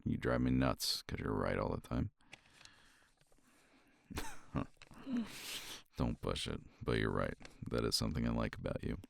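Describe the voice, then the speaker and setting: A man's voice: unhurried, long pauses, no echo. A man speaking tenderly and reassuringly to a partner in a romantic ASMR roleplay, close to the mic. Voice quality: very deep and gravelly. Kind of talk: romantic ASMR roleplay, intimate soft talk.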